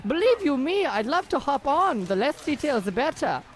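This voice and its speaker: A man's voice: very high-pitched, big pitch swings, normal speed. A man playing a naive, easily confused young Bavarian boxer with a theatrical, flowery way of talking in a comic radio play. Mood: grandiose, effusive. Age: young adult. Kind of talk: comic old-time radio play acting.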